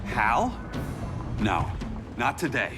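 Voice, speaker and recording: An actor playing a weary, remorseful superhero speedster in a video game cutscene, speaking in a wry, conversational way. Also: male voice, medium pitch, very animated, drawn-out words, music in the background. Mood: weary, suspicious.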